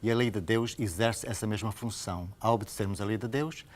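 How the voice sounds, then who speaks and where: A man's voice: fairly low pitch, fairly quick. A man from Angola with an Angolan Portuguese accent, a pastor teaching about the Bible in conversation, earnest and didactic with emphatic repetition. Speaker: pastor, programme guest.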